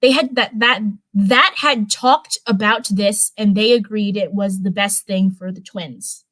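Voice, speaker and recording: A woman's voice: mid-range voice, steady tone, a little slow, fairly bright tone, no room sound, low-quality recording. Woman casually reading a story aloud. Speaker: live streamer reading fanfiction. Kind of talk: casual live read-aloud of a story with chatty asides.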